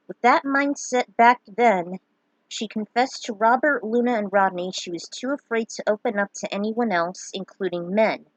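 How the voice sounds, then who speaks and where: A woman's voice: medium pitch, dry recording, rough recording. A woman introducing and narrating her own fan fiction in a theatrical, emphatic way. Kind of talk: dramatic recap and reading of own fan fiction.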